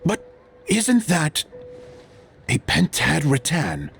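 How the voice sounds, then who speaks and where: A man's voice: on the high side, huge pitch swings, slow pace, creaky, a bit bright, a little hiss or hum, heavily acted. A man playing a fussy, superstitious gossip in a video game, speaking in an effusive, dramatic manner. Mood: alarmed gossip. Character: fussy, theatrical, superstitious male opera-loving gossip.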